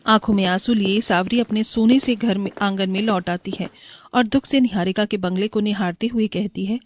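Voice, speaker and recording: A woman's voice: mid-range voice, fairly even intonation, moderate pace, soft background music. A woman narrating a moral bedtime story as a scripted voice-over.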